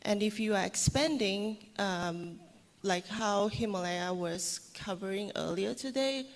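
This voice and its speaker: A woman answers panel questions in a loose, casual manner, leaning on fillers as she thinks. Her voice carries a long reverb, as if picked up in a large hall. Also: medium pitch.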